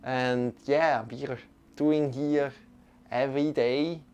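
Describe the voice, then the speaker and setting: A man's voice: mid-range voice, steady tone, slow, darkish tone, muffled recording, slight background noise. A young man with a Swiss accent, hosting a live-streamed yoga class and greeting viewers in a loose, friendly way with frequent restarts. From Switzerland.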